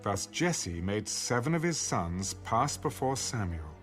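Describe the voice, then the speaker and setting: A man narrating an audio Bible in a formal, measured storytelling style. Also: lowish voice, big pitch swings, fairly bright tone, soft background music.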